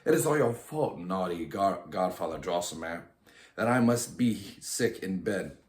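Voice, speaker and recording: A man's voice: sits low, lively intonation, unhurried. Storybook little girl played by a man, earnest, pleading reproach, echoey room. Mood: hurt, accusing.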